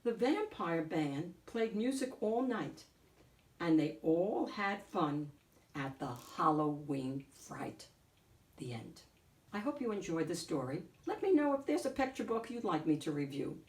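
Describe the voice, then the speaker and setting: A woman reading a picture book aloud as host, in a deliberate, theatrical storytelling way. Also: on the low side, sing-song, a little mellow, far from the mic. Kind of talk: expressive picture-book narration. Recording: echoey room, off the mic.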